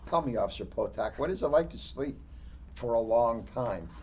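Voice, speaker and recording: A woman with a low voice chips in casually during a storybook reading. Her manner is playful and mock-serious, and the recording is a bit dull with faint noise behind it. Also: expressive, moderate pace, a little mellow. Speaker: police officer, co-reader of a children's storybook.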